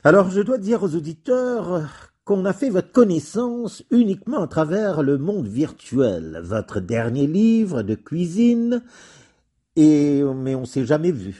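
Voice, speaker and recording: A man hosting a radio interview, chatty and lively in his questions. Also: fairly high pitch, slow pace, breathy edge. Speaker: radio host.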